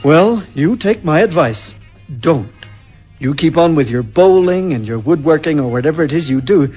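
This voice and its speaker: Grumpy, higher-voiced aggrieved husband. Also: male voice, expressive, normal speed, darkish tone, husky. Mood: bitter, wry. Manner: exasperated, emphatic. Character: grumpy, stubborn, aggrieved husband nursing menace. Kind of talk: old-time radio drama acting and narration. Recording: dull lo-fi recording with music.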